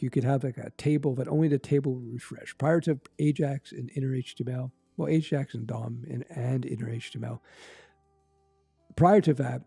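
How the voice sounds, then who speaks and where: Gravelly, mellow-voiced Canadian man livestreaming. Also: mid-range voice. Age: middle-aged. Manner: rambling, conversational, fillers and restarts. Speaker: livestream host answering chat. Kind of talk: casual live-stream chat.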